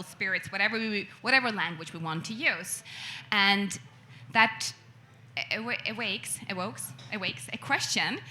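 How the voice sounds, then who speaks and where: A woman's voice: mid-range voice, bright, forceful tone, a little hiss or hum. A woman from Sweden co-hosting a panel discussion, speaking in a reflective way and rephrasing her questions as she goes. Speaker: panel co-host. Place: event venue with an audience.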